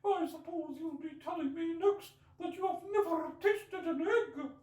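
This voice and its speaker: A man voicing a fretful, indignant mother pigeon from a storybook, shrill and scolding. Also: a little slow, a little mellow, echoey room, distant mic. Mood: contemptuous. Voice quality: shrill, screechy. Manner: scolding, sobbing, contemptuous.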